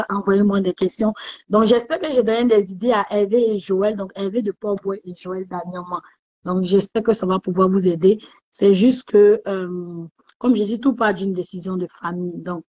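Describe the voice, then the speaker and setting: A woman hosting a casual motivational livestream, chatting warmly and repeating herself, with many fillers. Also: mid-range voice, no room sound, highs cut off. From West Africa. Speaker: livestream host.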